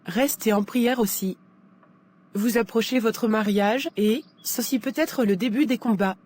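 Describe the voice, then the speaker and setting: A woman's voice: fairly high pitch, a little slow, a little mellow. A woman playing a caring advising friend. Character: caring young female friend giving advice.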